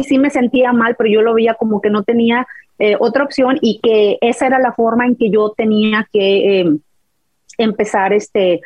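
A woman's voice: medium pitch, no echo, dull audio. A woman from rural Mexico, a health coach guest, speaking hesitantly and searching for words. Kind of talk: casual chat.

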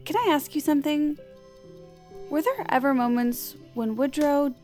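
A woman's voice: high voice, expressive, moderate pace, darkish tone, soft background music. An actress playing a devoted, quirky young woman, casual and drily funny, in an audio drama. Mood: supportive, playful. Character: perky, devoted young female confidante, quirky and supportive.

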